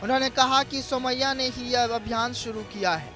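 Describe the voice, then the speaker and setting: A man's voice: very high voice, normal speed. A man reading a dramatic scripted news report as a voice-over. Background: music bed.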